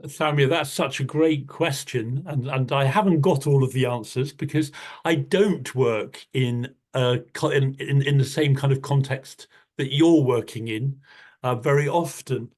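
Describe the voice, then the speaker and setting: A man leads an online storytelling workshop, talking in a warm, thoughtful way and often restarting his sentences over a video call. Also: mid-range voice, unhurried.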